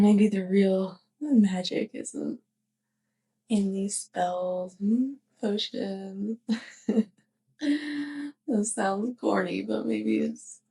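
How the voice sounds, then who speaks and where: A woman playing a sweet, clumsy young witch neighbor in an intimate ASMR roleplay, speaking softly and hesitantly with shy affection. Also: mid-range voice, exaggerated voice. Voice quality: soft, breathy. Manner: hesitant, giggly rambling.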